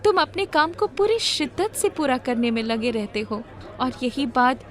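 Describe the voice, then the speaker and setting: A woman's voice: high-pitched, very animated, normal speed, a bit bright, pitched above the performer's own voice. A woman voicing a sincere, admiring young village woman in a cartoon moral story.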